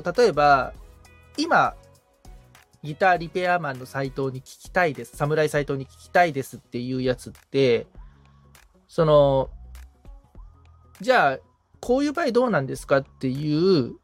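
A man's voice: on the high side, dry recording. A man working as a podcast assistant, casually asking questions and thinking his way through them hesitantly. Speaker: podcast assistant, guitar repairman.

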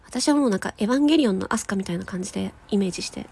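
A woman's voice: medium pitch, lively intonation, racing, gravelly, darkish tone, breathy edge. A woman podcast host chatting casually and enthusiastically, with emphatic, giggly delivery. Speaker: podcast host and amateur voice actress.

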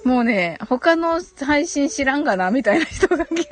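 A woman's voice: high-pitched, expressive, moderate pace, faint music behind. A giggly woman hosting a casual live audio stream, chatting and reading out listener comments with frequent short acknowledgements. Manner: giggly, frequent laughter while talking.

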